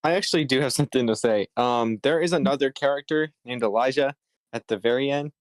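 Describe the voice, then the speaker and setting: Man, casual meeting participant. Mid pitch, hesitant delivery, dry sound. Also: fast talker, dry recording. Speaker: participant in a script table read. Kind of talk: casual group chat.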